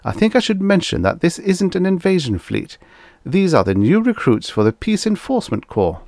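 A man's voice: high voice, sing-song, normal speed, vocal fry, darkish tone, no room sound, pitched above the performer's own voice, full character voice. Male reader doing junior diplomat, unflappable, bland, polite, matter-of-fact, dry sound, satirical audiobook. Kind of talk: audiobook character voice, science fiction satire.

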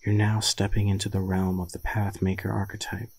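A man leading a guided meditation in a soothing, deliberate manner with long pauses and a husky voice. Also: sits low, flat delivery, darkish tone.

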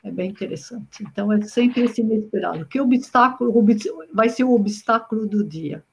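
A woman's voice: mid-range voice, moderate pace, vocal fry, a little hiss or hum. A middle-aged woman from Brazil, an engineering professor guesting on a video-call interview, talking reflectively with frequent restarts and repeats. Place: home room with bookshelves. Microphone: webcam on video call. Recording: muffled video-call audio.

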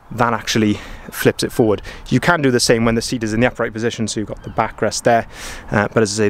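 A man's voice: on the low side, a little hiss or hum. A young man doing a walkaround review of a van outdoors, upbeat and enthusiastic with frequent ums. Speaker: van salesman. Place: outdoor car lot beside a van. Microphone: camera-mounted mic, filming while walking.

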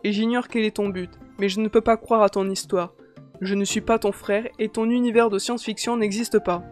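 A woman's voice: mid-range voice, steady tone, a little fast, a little mellow, soft background music, lightly acted. A woman voicing an arrogant, irritable teenage boy with an uneasy streak, as character dialogue in an audiobook. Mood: hesitant, disbelieving. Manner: curt and self-important, later hesitant.

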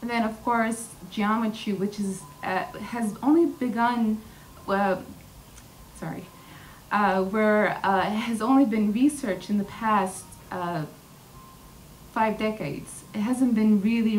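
Young woman, podium talk, earnest, halting fillers, mic a bit distant, faint room hum. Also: medium pitch, fairly even intonation. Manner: earnest, many fillers and restarts. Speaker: featured artist speaker. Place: large indoor hall with glass wall.

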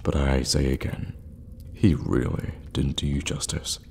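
A man playing a seductive, menacing evil warlock in an ASMR roleplay, teasing in a breathy murmur with halting pauses. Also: fairly even intonation, slight background noise, full character voice. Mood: teasing, amused. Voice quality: breathy low murmur, often whispering. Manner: slow, teasing, halting for effect. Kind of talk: intimate ASMR roleplay acting. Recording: long reverberant cave-like echo.

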